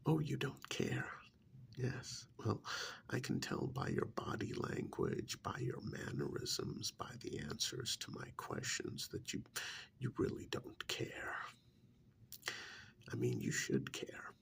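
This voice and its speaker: Man acting as a male vampire envoy, ASMR role-play, half-whispered, very gravelly fry, deliberate, menacing, condescending. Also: a bass voice, huge pitch swings, full character voice. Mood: condescending, disappointed. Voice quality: breathy, half-whispered, creaky. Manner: slow, deliberate, condescending, hesitant pauses. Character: calm, menacing male vampire emissary of a queen.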